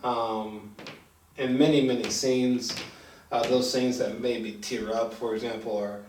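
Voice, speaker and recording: A man giving a casual film review as host, talking in a halting, informal way and swearing freely. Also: medium pitch, a little hiss or hum. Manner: halting, filler-heavy, swearing, animated when excited. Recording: echoey room, distant from mic.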